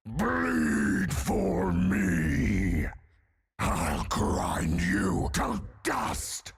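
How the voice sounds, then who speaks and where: A hulking undead warrior from a video game, savage and bloodthirsty. The voice is extremely rough and gravelly, lurching from guttural growls to high roars. Every phrase comes slowly and with menace. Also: male voice, huge pitch swings, exaggerated voice. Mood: savage bloodlust. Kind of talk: video game character voice lines.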